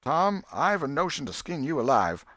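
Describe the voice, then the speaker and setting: A man's voice: on the high side, very animated, moderate pace, a bit bright, dry recording, clean studio sound, voice pushed up. A man voicing a scolding, sorrowful old aunt with folksy rural speech in a storybook reading.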